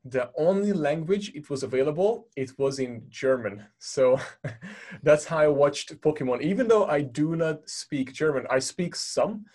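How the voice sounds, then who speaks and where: A man's voice: on the high side, expressive, a little slow, a little mellow. A man from Hungary with a Hungarian accent, hosting a casual podcast and thanking his guest often. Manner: friendly, effusive thanks, fillers. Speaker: podcast host.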